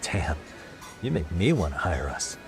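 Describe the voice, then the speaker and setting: A man's voice: deep voice, big pitch swings, a little slow, over music. A man acting a level-headed private detective in a video game dub, dry and casual. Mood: wry.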